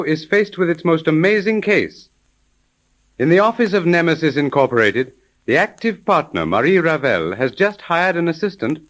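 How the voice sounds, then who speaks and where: A man's voice: on the high side, a bit bright. A man announcing a 1930s radio serial in formal, dramatic scripted narration.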